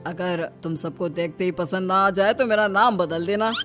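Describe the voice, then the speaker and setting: A woman's voice: on the low side, normal speed, a bit bright, soft background music, close to an everyday voice. A woman voicing a genial, persuasive village elder brother-in-law in a cartoon story.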